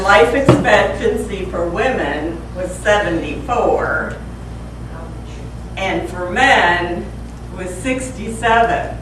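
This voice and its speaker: A woman's voice: on the high side, a bit bright. A woman in her sixties giving a humorous prepared speech at a speaking club, playfully teasing and quizzing her audience. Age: 60s. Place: club meeting room. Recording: echoey room from far off, faint hiss.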